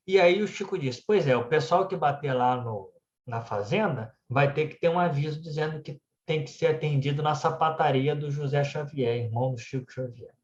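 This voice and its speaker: Brazilian man telling stories, echoey room. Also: medium pitch. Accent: Brazilian Portuguese. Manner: conversational storytelling, frequently adding "né" tags. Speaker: speaker at a spiritist centre. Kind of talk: religious talk told as anecdotes. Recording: echoey room, dull muffled sound.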